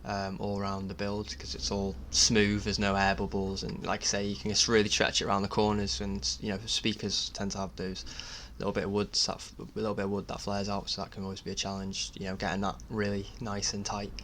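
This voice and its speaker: A man talks casually over his DIY build video in a low, very even voice. He is hesitant and modest, often halting as he explains. There is clear noise in the recording behind him. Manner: hesitant, halting, self-effacing. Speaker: DIY builder narrating own project.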